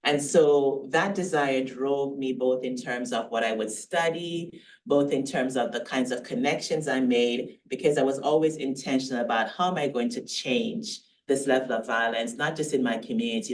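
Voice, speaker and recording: A woman's voice: rumbling low, far from the mic, a little hiss or hum, low-quality recording. A woman with a Jamaican accent, raised in Canada, speaking earnestly and thoughtfully as a panelist. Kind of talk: panel discussion.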